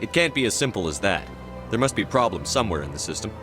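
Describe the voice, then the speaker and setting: A direct human game protagonist, talks fast, kinda low voice, short and blunt, faint music behind. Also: male voice, big pitch swings. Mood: skeptical. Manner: direct, terse questioning. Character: blunt, inquisitive human male visitor, sci-fi game protagonist. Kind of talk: video game dialogue.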